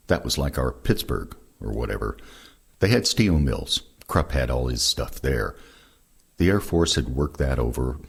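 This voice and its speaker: An older man with a gravelly, husky voice reading first-person war recollections aloud. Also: a bass voice. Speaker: reader of veterans' oral histories.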